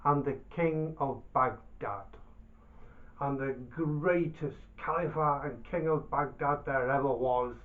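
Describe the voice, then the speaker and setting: A man telling a folk tale as a storyteller, in a theatrical, deliberate manner with dramatic pauses and repetition. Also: medium pitch. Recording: thin phone-like sound.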